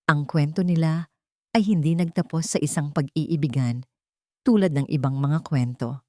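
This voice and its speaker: A woman with a Filipino accent narrates a scripted dramatic story in short, measured, sentimental phrases. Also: deep voice, warm tone, no echo, studio quality.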